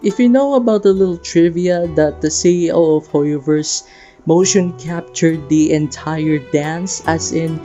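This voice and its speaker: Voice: mid-range voice, measured pace, warm tone, muffled recording. A young adult narrating a personal, sentimental video essay about a game in an earnest way. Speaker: video creator and host. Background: guitar music.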